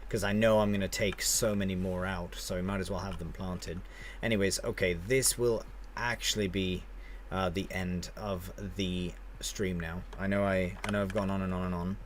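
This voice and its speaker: A man's voice: low voice, steady tone, normal speed, a little hiss or hum. A man streaming a game live, chatting casually and rambling as he answers viewers with friendly banter.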